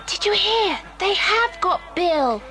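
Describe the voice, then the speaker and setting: Fretful, questioning young girl in audio adventure; extremely high voice with animated swings. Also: takes it slowly, music in the background, full character voice. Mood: anxious, curious. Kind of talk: dramatised children's adventure audiobook.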